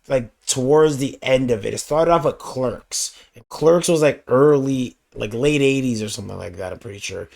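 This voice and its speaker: A young man with a raspy voice hosting a reaction video, talking in a laid-back, slangy, rambling way. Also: medium pitch, a little mellow. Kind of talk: casual reaction commentary.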